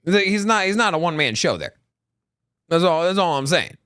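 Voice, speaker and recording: A man co-hosts a casual crypto live stream and speaks in a high voice that swings widely. He rambles, restarts his sentences and keeps pushing his point. The sound is dry and close. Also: brisk, smooth, no room sound. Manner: animated, rambling, many restarts. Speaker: co-host of a crypto news show.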